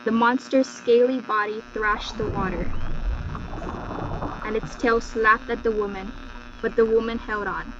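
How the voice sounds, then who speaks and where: A woman's voice: a little high, steady tone, measured pace, pauses often. A woman storyteller giving a scripted, dramatic narration of a folktale. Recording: dull lo-fi recording with loud mains hum.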